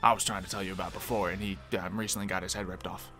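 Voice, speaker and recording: Man acting a bright, low-voiced loyal packmate. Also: level tone, rapid-fire, faint music behind. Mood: matter-of-fact. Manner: casual, agreeable. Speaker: roleplay performer. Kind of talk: improvised fantasy roleplay acting.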